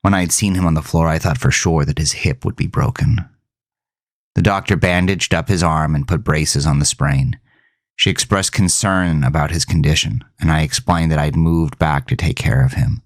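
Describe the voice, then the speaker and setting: Very low-pitched man, gravelly fry, somber literary narration, horror audio drama, music underneath. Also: moderate pace, no room sound, studio quality.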